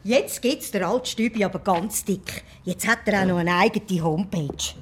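A woman's voice: medium pitch, normal speed, slightly rough, soft background music, close to an everyday voice. A woman acting a curious, mildly mocking village gossip in a radio play, casual and nosy. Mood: curious, sceptical.

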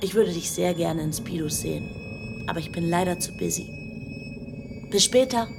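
A woman's voice: lowish voice, normal speed, creaky, over music. A woman playing a flirty, busy member of a yacht crew in an audio drama.

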